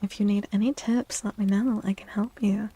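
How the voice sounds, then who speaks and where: A woman speaking softly and breathily in a gentle, unhurried half-whisper, chatting as an ASMR livestream host. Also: medium pitch, steady tone, slightly rough. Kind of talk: soft-spoken ASMR livestream chat. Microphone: close microphone, dry.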